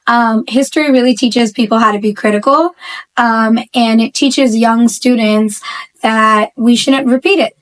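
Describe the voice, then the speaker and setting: A woman's voice: fairly high pitch, fairly even intonation, unhurried. A woman, born in Bangladesh and raised in the Bronx, chatting about her work as a history teacher in a casual school interview, upbeat and full of ums.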